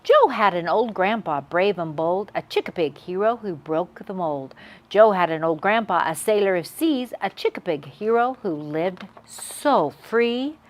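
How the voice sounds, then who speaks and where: A woman's voice: mid-range voice, lively intonation, measured pace. A woman hosting a children's read-aloud, telling a picture-book story expressively to young viewers.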